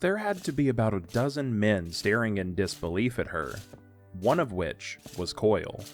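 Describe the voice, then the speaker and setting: A man with a fairly low voice narrates a fantasy story. His delivery is measured and literary, with faint music running underneath. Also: sing-song. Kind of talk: scripted audio drama storytelling.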